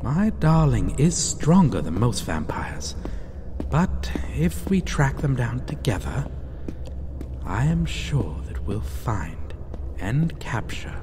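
A man's voice: mid-range voice, very animated. A man playing a menacing, possessive centuries-old vampire lover in a theatrical gothic audio roleplay. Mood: cold vengeful fury. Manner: slow, theatrical, swearing, swinging between whispers and cries. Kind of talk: dramatic gothic ASMR roleplay. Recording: long hall reverb, faint noise.

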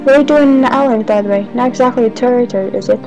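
Woman as a high-voiced feisty young lioness. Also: racing, lo-fi sound, music in the background, natural voice. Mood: playful. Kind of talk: animated fan dub acting.

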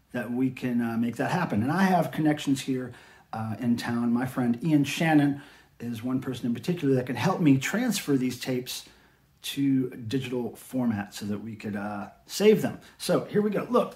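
A man's voice: mid-range voice, a little mellow. A middle-aged American man talking casually in a folksy, informal way, as in an unboxing vlog at home. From United States. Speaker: vlogger, record collector and fan site manager. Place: small indoor room at home.

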